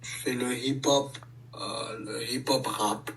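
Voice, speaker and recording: Homme posé qui hésite, micro un peu éloigné. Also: male voice, mid-range voice, fairly even intonation, vocal fry, a bit bright, recorded from a distance, slight background noise, low-quality recording. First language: French. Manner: measured, many hesitations. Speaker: interviewee, hip-hop artist. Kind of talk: casual interview answers.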